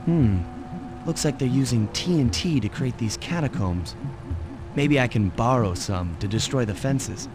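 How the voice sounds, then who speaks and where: A man voicing a suave, cocky young raccoon thief in a cartoon video game, smooth and wisecracking. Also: medium pitch, lively intonation, unhurried, music in the background, close to an everyday voice. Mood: casual, confident.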